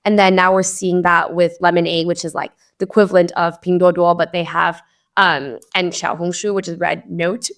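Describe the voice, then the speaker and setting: A woman's voice: lowish voice, flat delivery, normal speed. A young woman from Hong Kong, a venture investor, talking chattily as a podcast guest with lots of "like" fillers. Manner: chatty, upbeat, many "like" fillers. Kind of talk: casual podcast interview.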